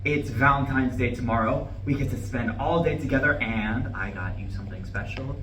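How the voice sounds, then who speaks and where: A man's voice: mid-range voice, normal speed, lightly acted. A man reading the part of an earnest, defensive office-worker husband in a live play reading, with a hesitant, over-explaining manner. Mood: soothing. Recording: distant mic, echoey room, background noise.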